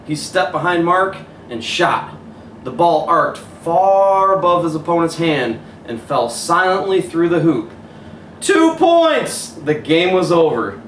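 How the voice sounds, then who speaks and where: A man reading a children's picture book aloud as a coach would, pausing to point out the pictures. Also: a little high, big pitch swings, fairly bright tone. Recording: roomy, distant mic, faint hiss.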